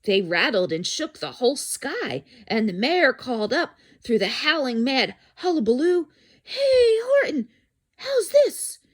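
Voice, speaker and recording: A woman's voice: fairly high pitch, sing-song, moderate pace, hardly any pauses, a bit bright. A woman reading a children's story aloud expressively, dramatic in delivery and addressing young listeners.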